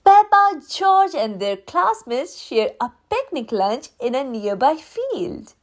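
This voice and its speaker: A woman reading a children's storybook aloud in a deliberate, expressive, sing-song way. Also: high voice. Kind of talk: read-aloud storybook narration for young children.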